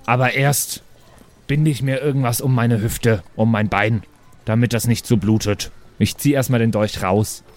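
A man's voice: medium pitch, steady tone, normal speed, a little mellow. A man improvising casually as a player in a tabletop role-playing game.

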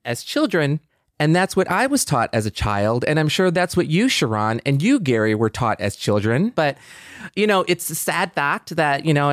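A man's voice: fairly high pitch, a bit bright, no echo, clean studio sound. A man in his forties co-hosting a podcast, introducing and talking reflectively. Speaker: podcast co-host.

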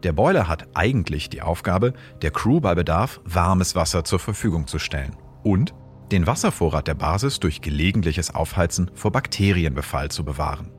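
A man hosting a science podcast, explaining events in calm, scripted narration. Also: deep voice, normal speed, soft background music. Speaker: host and astrophysicist.

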